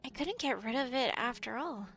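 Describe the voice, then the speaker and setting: A woman's voice: on the high side, expressive, normal speed, fairly bright tone, soft background music. Wry woman streamer playing a game. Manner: chatty, wry, reads game text aloud. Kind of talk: casual let's-play commentary.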